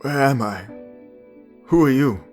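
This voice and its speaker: An actor playing an anguished young male warrior, dazed yet defiant, in a halting fantasy audio drama delivery. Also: mid-range voice, animated, a little mellow, soft background music, natural voice. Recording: roomy echo.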